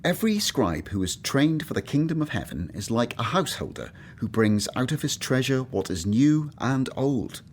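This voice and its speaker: An actor playing a weary, anxious, grumbling former tax collector turned disciple in a radio play, brooding and earnest. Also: male voice, mid-range voice, very animated, normal speed, few pauses, slight background noise, close to an everyday voice. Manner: brooding, earnest, self-doubting.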